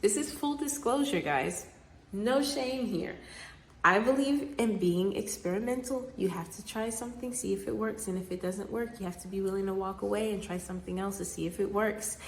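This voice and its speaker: A woman's voice: mid-range voice, moderate pace, a little hiss or hum, rough recording. Chatty young woman vlogging from her car. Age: 20s. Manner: upbeat, chatty, addresses viewers as guys. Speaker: vlogger, new mother. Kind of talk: casual vlog talk to camera. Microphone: phone camera. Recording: loud background music over voice.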